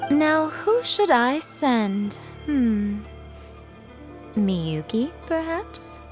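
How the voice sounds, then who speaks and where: Game casting: an elegant, scheming priestess, coy and teasing, highly melodic delivery, slightly high. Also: female voice, big pitch swings, very slow, faint music behind, heavily acted. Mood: teasing, sly. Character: sly, elegant, playfully manipulative lady head priestess. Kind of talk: video game character acting.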